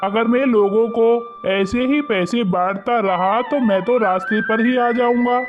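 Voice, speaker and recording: A man playing a shrewd, suspicious village moneylender in an animated moral story, speaking with condescension. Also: very high voice, measured pace. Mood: firm, calculating. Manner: condescending, wary. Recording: muffled lo-fi audio under loud music.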